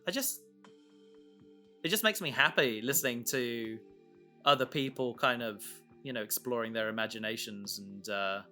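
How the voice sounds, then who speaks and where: Male podcast host, bright forward voice, casual and enthusiastic, wandering sentences, faint music behind. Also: medium pitch, normal speed. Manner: rambling, enthusiastic, trails off mid-thought. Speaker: podcast host and audio drama creator. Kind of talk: casual chat about making an audio drama.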